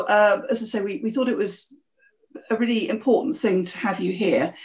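A woman hosts an interview podcast, her voice sitting at an everyday middle pitch. She opens the show and asks her guest questions in a friendly, encouraging way. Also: a little mellow. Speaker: podcast host.

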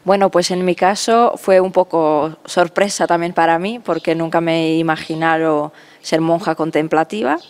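Novice in her 30s, chill and friendly, handheld mic, on the low side. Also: normal speed. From Czech Republic. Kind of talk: interview answers. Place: cloister garden outdoors.

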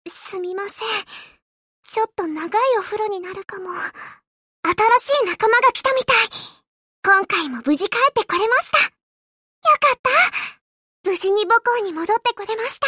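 Sweet polite anime game girl, super high voice, takes her time, bit hesitant, eager, dry close sound. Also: sharp tone, no room sound, full character voice. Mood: sweet, grateful, then relieved. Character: gentle, eager, polite young female aircraft carrier ship girl. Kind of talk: Japanese anime game character voice lines.

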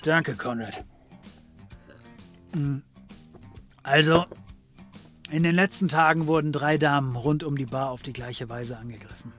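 Occult detective, dry, slangy, probing, slightly high male voice, comedy audio drama, faint music behind. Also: a little slow, pauses often, fairly bright tone. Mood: inquisitive. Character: male occult private detective, dry, hard-drinking, pale.

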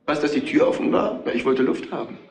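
An actor playing a defiant but cowardly betrayed husband under suspicion, talking defensively in a retro crime radio play. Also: male voice, fairly high pitch, quick pace, natural voice. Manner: defensive, challenging.

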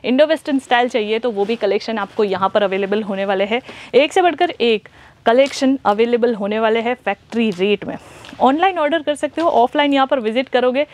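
Young female shop host, a touch high-pitched, brisk and salesy, speaking to camera on a clip-on mic. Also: darkish tone. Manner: upbeat, repetitive salesy patter. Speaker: shop host presenting clothing. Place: large clothing store, indoors.

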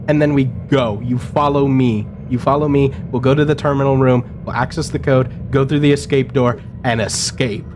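A man chatting casually with friends while playing a game, excitable and quick to swear. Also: mid-range voice, slight background noise. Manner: profane, excitable. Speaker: gaming video player. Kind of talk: casual gameplay chat with friends.

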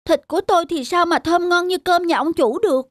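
Woman as a high-pitched pleading little cartoon mouse. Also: brisk, no room sound. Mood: wheedling. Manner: pleading, deferential. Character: small timid male mouse, pleading and wheedling. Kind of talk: children's cartoon dubbing.

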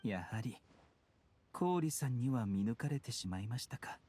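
A man playing a calm, polite, gently unyielding physician in a video game, with formal speech. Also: mid-range voice, a little slow, a little hiss or hum, lightly acted. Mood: calm, courteous. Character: composed, courteous male physician, gently unyielding. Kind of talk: Japanese game voice acting.

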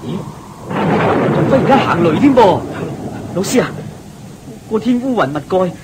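An eager young Buddhist disciple in a radio drama, with a high voice that swings animatedly. He speaks in a Hong Kong Cantonese accent, deferential and easily awed, with music behind him. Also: normal speed, very noisy. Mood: startled, uneasy. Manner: excitable, deferential, full of exclamations.

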